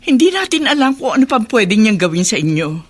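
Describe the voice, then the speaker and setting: A woman's voice: on the high side, big pitch swings, fairly quick. An actress playing a protective, resolute grandmother sheltering her family in a radio drama.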